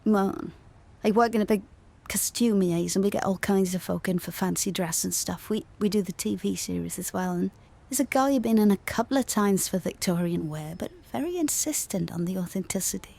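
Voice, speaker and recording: An actress playing a friendly, geeky costume seamstress who talks in a chatty, informal, slightly hesitant way in an audio drama. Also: female voice, medium pitch, fairly quick, gravelly, darkish tone. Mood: hesitant, helpful. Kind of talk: naturalistic audio drama acting.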